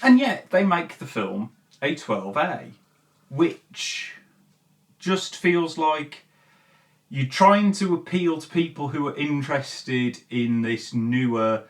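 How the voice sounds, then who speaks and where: Halting, opinionated vlogger, far from the mic. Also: mid-range voice, lively intonation. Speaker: host. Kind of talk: video essay commentary, casual chat to viewers.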